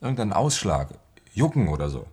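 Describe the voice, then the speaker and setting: Husky, lively-pitched male doctor. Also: brisk. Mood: patiently probing. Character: patient, probing male hospital doctor, calmly explaining. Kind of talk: German radio play acting.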